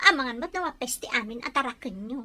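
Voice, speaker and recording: A woman's voice: high voice, animated, quick pace, heavily acted. A woman playing a boastful, competitive, envious schoolgirl in an Ilocano radio drama. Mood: scornful. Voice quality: sharp, childlike voice.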